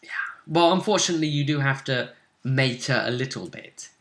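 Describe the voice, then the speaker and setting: A man casually talking through a tabletop role-playing game as game master, often pausing and thinking aloud in broken phrases. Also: mid-range voice, a bit bright. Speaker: game master, host of a role-play vidcast. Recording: roomy, echoing room sound.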